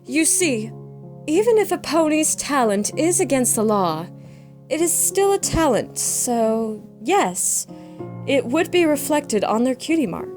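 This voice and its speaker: A woman voicing a kindly, patient female pony schoolteacher with a gentle manner in a cartoon fan audio drama. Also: sits high, sing-song, measured pace. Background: music.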